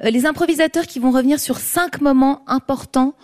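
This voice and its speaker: Woman host on a studio show, lively, kinda high voice. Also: a little fast. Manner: lively presenter, quotes and introductions.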